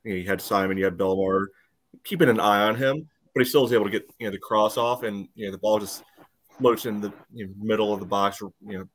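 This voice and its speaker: A man's voice: on the low side, fairly even intonation, fast. A man co-hosting a sports podcast, explaining match play step by step in a casual, matter-of-fact way. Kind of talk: casual soccer match analysis.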